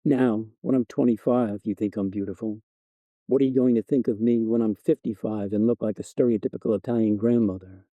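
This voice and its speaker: A man's voice: fairly low pitch, steady tone, a little fast, dry recording, narrow, tinny audio, natural voice. A man voicing a biting, exasperated fiancée in her twenties in a story reading. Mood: subdued, insecure. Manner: biting, sarcastic. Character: sarcastic, exasperated twenty-five-year-old fiancée, easily wounded. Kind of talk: storybook dialogue narration.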